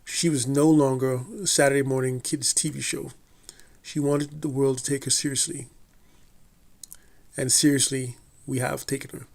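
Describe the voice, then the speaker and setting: A man delivering a prepared television review at a steady, mid-range pitch. His reading is measured and deliberate, and he now and then trips over a word and starts it again. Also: creaky. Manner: measured, deliberate delivery with occasional slips and restarts. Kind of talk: scripted review read aloud.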